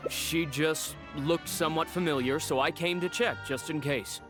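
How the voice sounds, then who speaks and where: A man's voice: fairly high pitch, normal speed, music in the background, lightly acted. An actor playing an evasive, polite male animal shelter director in a video game. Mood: defensive, explaining. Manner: hesitant, explaining, polite. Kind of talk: English game dub dialogue.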